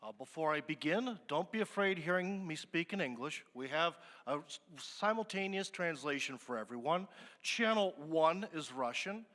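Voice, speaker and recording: A man moderates a business forum from the stage, chatting easily and filling pauses as he goes. His voice is bright and fairly high, and it rings with the long reverb of a large conference hall. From United States. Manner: chatty, relaxed, with many fillers.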